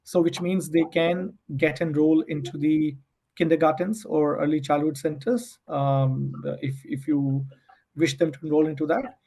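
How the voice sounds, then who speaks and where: A man with a slightly high voice leads an online webinar as an adviser. He explains things step by step in polite, halting phrases, often restarting as he goes. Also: steady tone, dark tone. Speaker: immigration adviser hosting a webinar.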